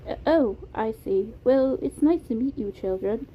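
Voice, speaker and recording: A woman voicing a shy, polite, gentle male animatronic with a soft British accent, in an amateur story reading. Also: a little high, animated, measured pace. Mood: shy, apologetic. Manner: soft-spoken, polite, hesitant. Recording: tinny phone-like audio, clear noise.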